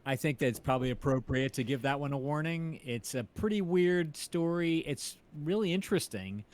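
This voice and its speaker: A man's voice: a little high, lively intonation, moderate pace, a little mellow. Man co-hosting a casual chat. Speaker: interview show co-host. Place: indoor room, group video call.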